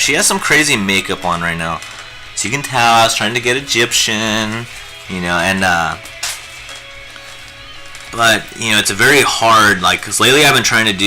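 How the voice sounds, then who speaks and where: Young man, casual streamer host, bright, cutting voice, slightly low-pitched, rambling and goofy with filler words. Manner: rambling and jokey, lots of fillers. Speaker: livestream host teaching digital painting. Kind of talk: casual live-stream chat while painting.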